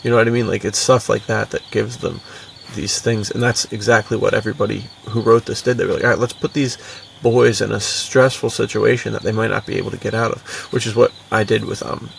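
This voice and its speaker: A man with a heavily creaky, lowish voice talks in a casual, enthusiastic podcast style. He rambles along in slangy phrases. The microphone sounds somewhat distant. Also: steady tone, fairly quick. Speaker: podcast co-host and fiction writer. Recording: distant mic with faint background noise.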